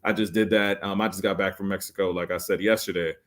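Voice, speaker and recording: Man, late 40s, lowish voice, podcast guest selling resorts, eager with lots of ums. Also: steady tone, fast talker, fairly bright tone. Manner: enthusiastic salesy pitch with many fillers. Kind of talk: podcast interview.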